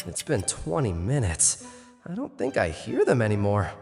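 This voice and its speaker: A man's voice: fairly low pitch, wildly swooping pitch, unhurried, heavily acted. Guy acting as nervous introvert office worker in a webtoon dub, voice swings all over, big reverb, music under it. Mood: anxious muttering. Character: nervous introverted young male office worker, flustered and evasive.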